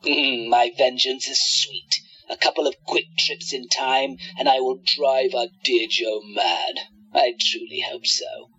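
A man's voice: mid-range voice, fairly even intonation, slow pace, sharp tone, lo-fi sound, slight background noise. A man playing a smug, scheming radio-play villain who gloats theatrically and plots revenge. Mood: gloating vengeful.

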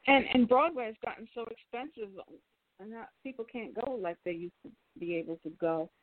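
A woman's voice: on the high side, expressive, brisk. A woman hosting a radio talk show, interviewing a guest, often stumbling over the start of her questions. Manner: brief interjections, repeats words when starting a question. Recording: dry, tinny phone-like line.

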